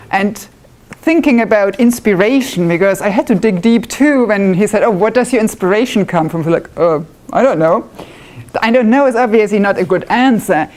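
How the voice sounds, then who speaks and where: A woman with a German accent presents at a club meeting in an easy, chatty way. She pokes fun at herself and tosses in quick asides, at a middling pitch. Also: a little mellow. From northwest Germany. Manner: self-deprecating, chatty with asides. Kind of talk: live demonstration talk. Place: club meeting room with live audience.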